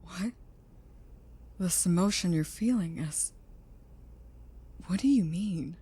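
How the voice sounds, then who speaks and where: Woman playing a protective female scientist, mellow tone, slightly husky, gentle and earnest, audio drama. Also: on the low side, slow pace, exaggerated voice. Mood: concerned. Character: caring female scientist, protective creator of a sentient robot. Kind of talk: audio roleplay acting.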